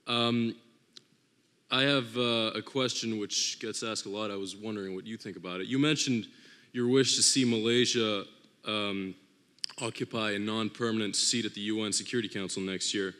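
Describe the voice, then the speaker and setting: A man's voice: on the low side, steady tone, moderate pace, pushed and bright. A young man from Switzerland, a student, asking a formal question politely with some hesitation. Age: young adult. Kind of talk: audience question. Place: university hall. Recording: reverberant hall.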